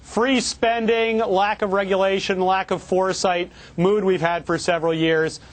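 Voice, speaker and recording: A man joining a business news panel as a contributor, high-pitched and quick to tease. His talk is joking and animated. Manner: teasing, animated. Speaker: contributor in Silicon Valley.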